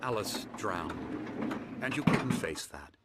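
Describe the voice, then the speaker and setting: A man playing a middle-aged psychiatrist in a video game, calm and measured, patronizing as he soothes patients at his clinic. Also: fairly low pitch, very noisy. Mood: grave, persuasive. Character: calm, smooth, condescending male psychiatrist running a clinic. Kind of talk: video game cutscene acting. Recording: music playing behind the voice.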